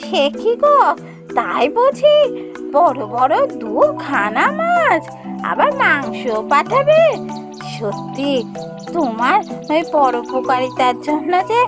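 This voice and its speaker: A woman voicing a simple, expressive village wife in a cartoon fairy tale, exclamatory and coaxing. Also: high-pitched, slow pace, heavily acted. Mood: delighted surprise. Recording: prominent music under the voice.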